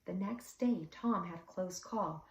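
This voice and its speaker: A woman teacher reading a children's story aloud with expressive narration, recorded in an echoey room slightly away from the microphone. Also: on the low side, measured pace, distant mic.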